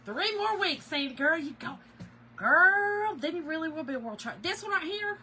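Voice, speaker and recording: A middle-aged woman, a little hoarse, hosting a casual craft livestream and greeting viewers by name. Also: very high voice, animated, normal speed, sharp tone, a little hiss or hum. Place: home office.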